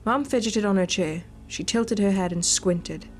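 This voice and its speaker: A woman's voice: mid-range voice, moderate pace, slight background noise. Woman reading a horror game aloud. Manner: sweary, unscripted asides. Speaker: gaming video host. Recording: faint music behind the voice. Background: game music.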